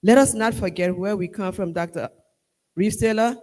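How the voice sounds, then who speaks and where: A man with a Liberian accent giving a formal vote of thanks at a ceremony, measured and emphatic, rallying the crowd. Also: high voice, a little mellow. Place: large hall at a university ceremony. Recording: long reverb of a large hall.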